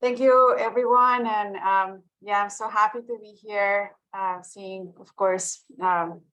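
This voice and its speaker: A woman's voice: medium pitch, steady tone, slow, a bit bright, dry recording, lo-fi sound. A woman from Mexico introducing herself on a video call, hesitant, with many fillers. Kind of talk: casual self-introduction.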